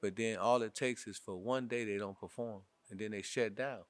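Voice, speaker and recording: A man's voice: fairly low pitch, steady tone, moderate pace, dry recording. A man from Milwaukee hosting a podcast, boasting in rhymes and dropping "you know what I'm saying" every few lines. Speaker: podcast host. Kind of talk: boastful casual talk.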